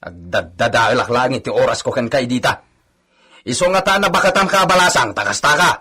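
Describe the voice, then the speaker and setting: A man's voice: mid-range voice, animated, fairly quick, bright, forceful tone, breathy edge. An Ilocano-accented man acting a boastful, touchy farmhand in a radio comedy, bickering with exclamations. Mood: indignant, scornful. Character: boastful, touchy male hacienda worker. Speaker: actor in a radio comedy drama.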